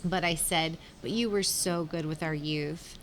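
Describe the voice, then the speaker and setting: Animated woman telling her story. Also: low voice, measured pace, a little hiss or hum. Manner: animated, quotes herself in lively asides. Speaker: founder of a youth culinary program. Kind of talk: storytelling in a casual interview.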